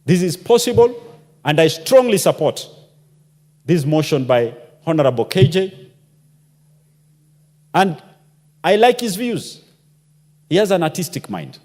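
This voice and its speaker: A man's voice: sits high, sing-song, a little slow, long pauses, darkish tone. Impassioned man in a reverberant chamber. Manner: impassioned, rhetorical questions. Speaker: member of parliament. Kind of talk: parliamentary debate speech. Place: parliamentary chamber. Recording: long reverb of a large chamber.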